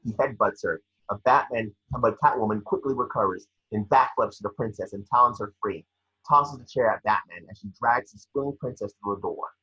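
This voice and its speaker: A man with a husky voice reading scene descriptions at a table read, sometimes stumbling over the script. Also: lowish voice, brisk, bright, forceful tone, muffled recording.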